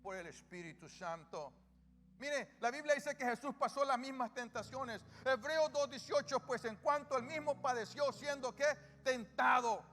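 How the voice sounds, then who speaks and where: High-voiced Salvadoran pastor preaching. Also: male voice, normal speed, fairly bright tone. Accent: Salvadoran Spanish. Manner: call-and-response questions to the congregation. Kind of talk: sermon. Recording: reverberant church hall with music.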